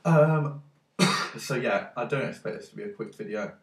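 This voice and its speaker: A man's voice: mid-range voice, normal speed, recorded from a distance. A man with a cold talks in a rambling, hesitant way, congested and full of ums. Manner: hesitant, many ums and restarts. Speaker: tech vlogger. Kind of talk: casual rambling monologue. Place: his own room. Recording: echoey room, voice away from mic.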